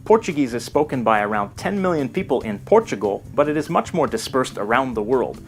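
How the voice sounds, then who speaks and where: A man narrating a scripted educational video in a measured, explanatory way. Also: mid-range voice, sing-song, soft background music. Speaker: documentary narrator.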